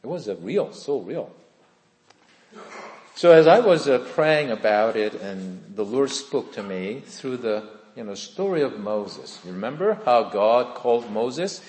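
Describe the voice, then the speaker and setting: Pastor in his 50s, lively up and down preaching voice, big echoey church hall. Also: medium pitch, unhurried. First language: Korean. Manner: earnest, conversational, asks the congregation questions. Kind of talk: sermon with personal testimony.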